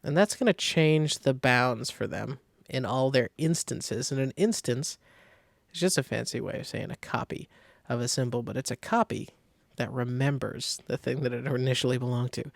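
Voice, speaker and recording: A man with a noticeably creaky, gravelly voice walks through a design tutorial in an informal way. He thinks aloud as he works, in an easy conversational style. The sound is close and dry, with very little room around it. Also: medium pitch, normal speed, no echo. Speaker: design software tutorial host. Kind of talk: casual screen-recorded tutorial.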